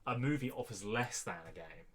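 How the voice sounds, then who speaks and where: A man's voice: medium pitch, animated, brisk. A man co-hosting a podcast, giving blunt, dismissive opinions in a casual debate. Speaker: podcast co-host.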